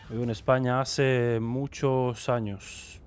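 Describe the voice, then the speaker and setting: A man's voice: medium pitch, little pitch movement, takes it slowly, clean tone. A Colombian man streaming a tank game live, chatting with his viewers and muttering game noises between remarks. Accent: Colombian Spanish. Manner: mutters game noises and chats with viewers, mixing English and Spanish. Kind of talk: live gameplay commentary.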